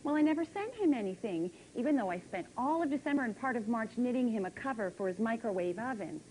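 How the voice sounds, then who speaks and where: A woman's voice: on the high side, animated, quick pace, warm tone, slight background noise, lightly acted, poor audio. A woman playing a fretful middle-aged neighbor who rambles and stammers through her worries on a game show. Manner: rambling, stammering with nervous restarts. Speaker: comic character on a kids' game show.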